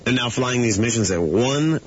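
A man with a raspy voice co-hosting morning radio in casual, joking banter. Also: mid-range voice, animated, moderate pace. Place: radio studio. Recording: dull old radio aircheck.

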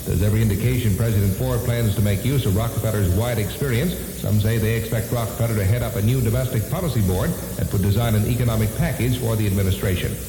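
Fast-talking low-voiced male radio newsreader. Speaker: radio news anchor. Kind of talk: scripted news bulletin. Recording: hissy 1970s AM radio, reverberant.

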